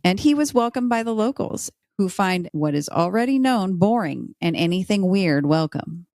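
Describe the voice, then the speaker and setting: A woman's voice: medium pitch, expressive, normal speed, a little mellow, no echo. Woman podcast guest, dry close recording. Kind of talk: playful debate argument and casual chat.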